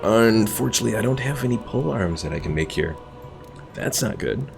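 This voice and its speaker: A man's voice: lowish voice, lively intonation, fairly quick, creaky, over music. A man casually chatting with viewers while streaming a strategy game, laid-back and rambling. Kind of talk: casual live stream commentary.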